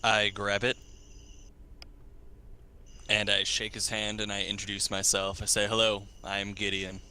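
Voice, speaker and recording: A man's voice: lowish voice, monotone, measured pace, bright, forceful tone, a little hiss or hum. A man playing a roleplay game, stating his character's actions and lines.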